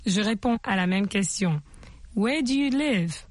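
A woman teaching a bilingual language lesson, with slow, patient, repetitive drilling of questions and model answers. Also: medium pitch, animated. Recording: loud guitar music underneath.

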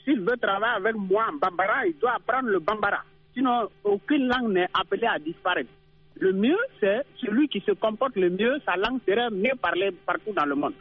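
Very high-voiced man on a tinny phone line. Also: expressive, fairly quick, breathy edge. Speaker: caller. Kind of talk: radio call-in opinion.

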